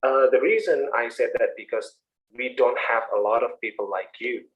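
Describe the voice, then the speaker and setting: Indonesian-accented man, english teacher, explanatory, encouraging, mid-pitched. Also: moderate pace, highs cut off. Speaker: English instructor. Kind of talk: answering questions in an online class. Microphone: online video call.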